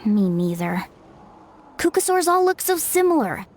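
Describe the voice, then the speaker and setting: Bubbly young game heroine. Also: female voice, fairly high pitch, sing-song, measured pace, a little mellow, a little hiss or hum. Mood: friendly. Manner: bubbly and eager. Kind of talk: video game voice acting.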